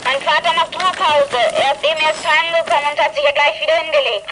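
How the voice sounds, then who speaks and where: A woman's voice: high-pitched, steady tone, quick pace, noisy background, lightly acted. A woman playing an alert, no-nonsense young girl who works the radio in a children's detective drama, with clipped delivery. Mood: matter-of-fact. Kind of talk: 1980s German children's radio play acting.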